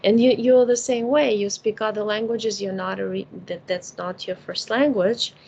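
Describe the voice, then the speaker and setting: A woman's voice: mid-range voice, steady tone, normal speed, vocal fry, a little mellow, slight background noise. A woman with a Ukrainian accent, a native Russian and Ukrainian speaker, talking casually about spiritual matters. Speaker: spiritual healer and host.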